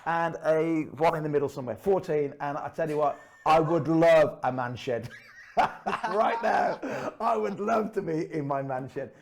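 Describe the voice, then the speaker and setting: A man in his forties hosting an online show in a chatty, upbeat way, his voice slightly croaky. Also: a little high, a little slow, slight background noise. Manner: upbeat, chatty, laughs often. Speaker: host. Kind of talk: casual online show presenting. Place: room under his house.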